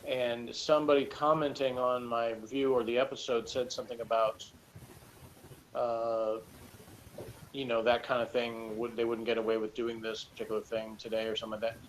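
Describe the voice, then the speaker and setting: A man's voice: mid-range voice, level tone, normal speed. A man in a casual review chat, thinking aloud in a rambling, tentative way as a guest reviewer. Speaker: guest reviewer discussing TV episodes. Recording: noisy recording with background ambience. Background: noticeable noise and ambience.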